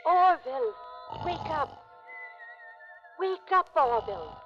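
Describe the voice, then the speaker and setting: An actress playing a bossy, insistent aunt in a vintage radio comedy, with a scolding manner. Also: female voice, high-pitched, very animated, slow, heavily acted. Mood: scolding, urgent. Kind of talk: 1940s radio comedy acting. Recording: muffled old radio broadcast, music behind.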